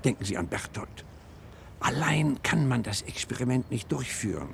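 Insistent professor, slightly creaky voice. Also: male voice, medium pitch, expressive, moderate pace, background noise. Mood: earnest, persuasive. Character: determined male professor, patient at an institute, urgently persuasive. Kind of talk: German radio play acting.

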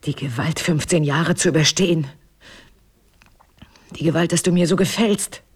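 An actress playing a wounded, bitter middle-aged wife in a German radio drama, speaking with accusing intensity and building repetitions. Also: female voice, sits low, normal speed, breathy edge. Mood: anguished.

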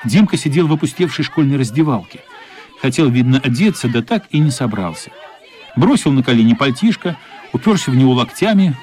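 A man's voice: medium pitch, lively intonation, brisk, darkish tone, a faint crowd. A man narrating a radio play as dramatic scripted storytelling, expressively voicing the characters' thoughts.

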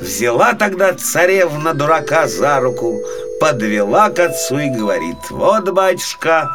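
A male storyteller reads a children's fairy tale in a dramatic, expressive way. His mid-range voice rises and falls widely, with faint music behind it. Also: medium pitch, normal speed. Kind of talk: scripted fairy-tale narration for small children.

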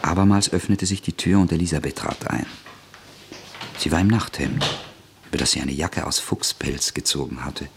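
A man with a husky voice reading scripted literary narration as the narrator of a radio drama. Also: rumbling low, moderate pace, background noise.

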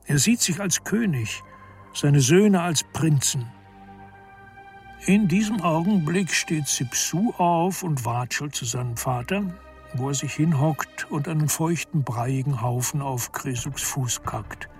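A man narrating a literary radio drama with deliberate, dramatic phrasing. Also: a little high, lively intonation, faint music behind.

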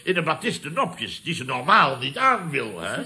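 An actor with a Netherlands Dutch accent playing a pompous middle-aged company boss in a radio comedy, blustering and repeating himself. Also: male voice, fairly high pitch, very animated, moderate pace, crowd noise. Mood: outraged. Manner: blustering, repetitive, pompous catchphrases. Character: blustering, self-important male boss of a building firm. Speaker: company boss and club chairman. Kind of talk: 1970s radio comedy acting.

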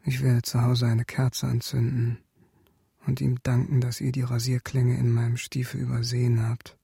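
An actor playing a hardened, vengeful German special forces sergeant in a radio drama, grimly controlled and sardonic. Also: male voice, mid-range voice, completely flat delivery, moderate pace, creaky, dark tone, slightly rough. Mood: cold menace. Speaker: soldier narrating his story.

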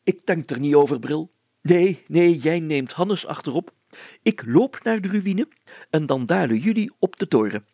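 A man doing an excitable, vain, high-pitched storybook mayor. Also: a little slow, dry recording. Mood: insistent, refusing. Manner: blustery, exclaiming, self-satisfied. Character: excitable, stubborn, vain small-town mayor, a grown man.

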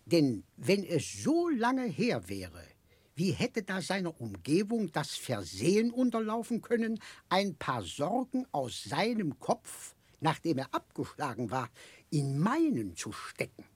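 An older, kindly eccentric with a muddled, childlike mind. His husky voice moves slowly and swings widely in pitch as he rambles and confides. It is classic 1960s radio drama, recorded close and dry. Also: wildly swooping pitch, no room sound, full character voice. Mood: earnest, puzzled. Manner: chuckling, halting, confiding, rambling.

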